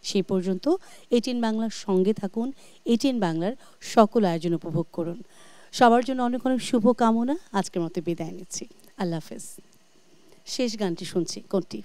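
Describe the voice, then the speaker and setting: A woman's voice: medium pitch, a little fast, pauses often, mellow, dry recording. A Bangladeshi woman hosting a music show, speaking graciously and effusively to her guest and viewers. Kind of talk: presenting, chatting with guest and viewers. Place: TV studio.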